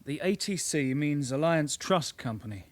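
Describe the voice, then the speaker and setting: A man's voice: on the high side, expressive, normal speed, no room sound, close to an everyday voice. Polite young rookie detective played by a man. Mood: eager. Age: 20s. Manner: hesitant, deferential. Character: keen, polite 23-year-old grammar-school rookie detective. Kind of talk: radio drama acting.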